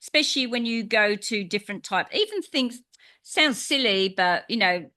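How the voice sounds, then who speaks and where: A woman in her fifties, a podcast guest who tells stories in a lively way and acts out her own reactions. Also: a little high, moderate pace. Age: 50s. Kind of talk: casual conversation.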